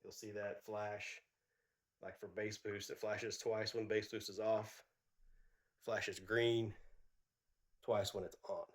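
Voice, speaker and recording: A man's voice: on the low side, flat delivery, slow pace, long pauses. A man doing a casual product review, congested and nasal from a cold, talking in a loose, rambling way with frequent restarts.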